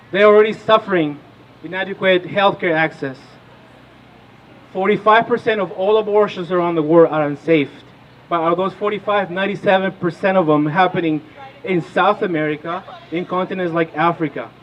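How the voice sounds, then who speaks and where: A man's voice: sits high, moderate pace, a bit bright, lo-fi sound, a little hiss or hum. A man in his thirties from Peru, giving an impassioned rally speech as a political organizer and asking the crowd questions. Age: 30s. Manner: rousing, asks the crowd questions. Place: outdoor rally.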